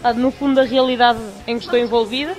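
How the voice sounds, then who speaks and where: A woman's voice: a little high, fairly even intonation, quick pace, soft background music. A young adult woman with a Portuguese accent, a student answering casually in a vox pop.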